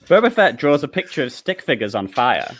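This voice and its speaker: A man's voice: medium pitch, very animated, fairly quick, fairly bright tone. A man reading stage directions as scripted narration at a comedic table read.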